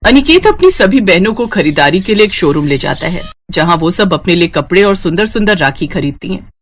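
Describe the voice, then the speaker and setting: A woman's voice: lowish voice, sing-song, a little fast. A woman reading scripted narration for a family story.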